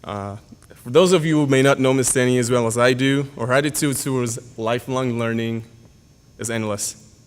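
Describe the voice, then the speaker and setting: A young man whose first language is Yoruba gives a prepared tribute at a school podium, formal and earnest, with an even middle pitch. He reads carefully, pausing and adding the odd filler. His voice carries a long hall reverb. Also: clear, resonant tone. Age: young adult. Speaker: graduating senior giving a speech. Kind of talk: prepared speech read at a podium. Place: school auditorium.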